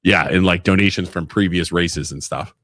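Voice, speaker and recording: A man's voice: low voice, normal speed, no room sound. A man from co-hosting a local podcast, chatting casually and laughing through jokey stories. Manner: animated, laughing, jokey storytelling. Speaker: podcast co-host. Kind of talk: casual chat.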